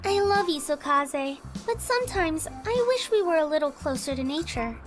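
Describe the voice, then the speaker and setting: A gentle schoolgirl girlfriend in a visual novel game. Her voice is extremely high, sweet and hesitant. Music plays beneath her lines. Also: expressive, moderate pace. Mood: wistful. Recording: music under the voice.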